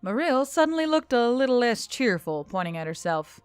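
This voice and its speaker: A woman's voice: fairly high pitch, big pitch swings, moderate pace, clean tone, no echo, faint music behind. A woman narrating a scripted story as host of an audio fiction channel.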